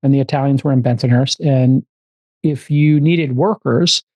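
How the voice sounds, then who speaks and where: A man in his fifties with a New York accent, hosting a casual interview as an informal, animated storyteller. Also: medium pitch, normal speed, dry recording. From Brooklyn, New York, United States. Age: 50s. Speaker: podcast host and interviewer.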